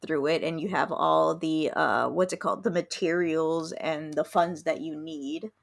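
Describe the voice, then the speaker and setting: A woman's voice: low voice, steady tone, unhurried, creaky. A woman hosting an interview in an informal, chatty style. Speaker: interviewer, host. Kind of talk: casual interview chat.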